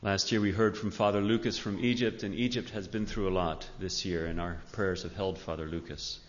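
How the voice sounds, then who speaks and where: A male pastor with a fairly low voice addresses a church in an earnest, measured way. His words ring through a long hall reverb, and the recording is somewhat dull. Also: fairly even intonation, a little hiss or hum. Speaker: pastor introducing a guest speaker. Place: large indoor church sanctuary.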